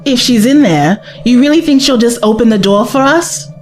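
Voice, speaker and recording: A woman's voice: on the high side, expressive, normal speed, soft background music, lightly acted. An actress playing a spirited, sceptical young woman, a traveller's companion in an audio drama, questioning and cheeky. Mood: exasperated. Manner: questioning, cheeky, exasperated edge.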